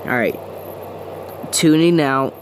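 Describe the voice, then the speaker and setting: A man playing a local TV news anchor, giving a halting, unsure breaking news report. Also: on the high side, background noise. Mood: hesitant, earnest.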